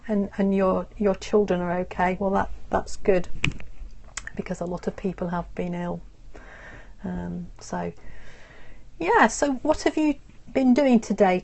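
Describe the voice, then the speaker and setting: A woman teaching English in a live online lesson, explaining slowly and patiently with many pauses and ums. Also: medium pitch, creaky, darkish tone. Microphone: phone or computer on a live stream.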